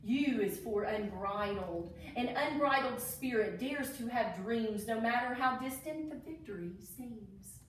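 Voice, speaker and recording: A woman's voice: fairly high pitch, animated, unhurried, few pauses. A woman reading a picture book aloud to children, with enthusiastic asides to her young listeners. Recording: echoey room, distant microphone.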